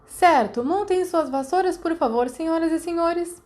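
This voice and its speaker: A woman voicing a stern silver-haired witch who teaches flying, loudly barking brisk orders in an audiobook. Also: extremely high pitch, voice pushed up. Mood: brisk commanding. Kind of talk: audiobook character voice.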